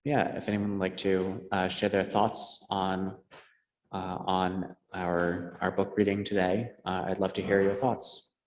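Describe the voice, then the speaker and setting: Flat, low-voiced man, echoey room. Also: a little slow, slight background noise. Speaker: church discussion leader. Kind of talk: informal, hesitant address to congregation.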